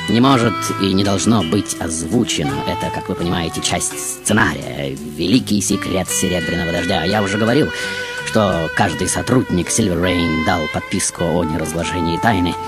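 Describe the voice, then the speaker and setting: An actor playing a vain, grandiose madman of a showman in a theatrical radio monologue, pompous and dramatic, with drawn-out pauses. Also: sits low, huge pitch swings. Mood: musing, confiding. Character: grandiose, mad male actor-genius, vain showman of many roles. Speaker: radio show host in character. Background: music.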